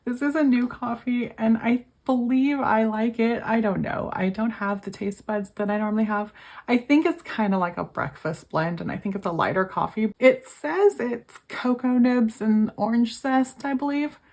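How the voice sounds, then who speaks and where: A woman's voice: a little high, normal speed, darkish tone. A young woman with a cold and a failing voice, chatting casually to her viewers about her budget, worn out and talking to them as you guys. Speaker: budgeting vlogger. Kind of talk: casual chat to camera.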